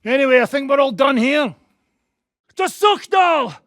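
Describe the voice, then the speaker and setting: An actor playing a gruff, battle-hardened rebel commander in a video game, barking orders, swearing and mocking his foes. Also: extremely high pitch, lively intonation, unhurried, fairly bright tone, dry recording, clean studio sound, full character voice. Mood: commanding.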